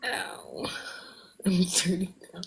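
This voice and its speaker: A woman in her twenties talks at an unhurried, dragging pace in casual stream chat. She sounds drowsy and complaining. Now and then she sinks into soft whispers. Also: lowish voice, creaky. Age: 20s.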